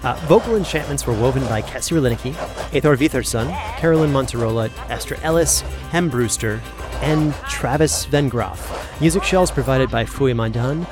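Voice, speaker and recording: Male actor as wry scholarly colleague, mid-range voice, sceptical, fantasy audio drama, clear music bed. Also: mid-range voice, animated, normal speed, lightly acted. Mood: patient. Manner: wry, conversational.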